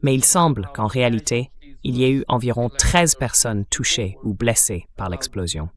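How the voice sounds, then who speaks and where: A man's synthetic voice works as an interview host. It sits at a middle pitch and delivers news in the manner of a narrated summary. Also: normal speed. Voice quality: synthetic text-to-speech voice.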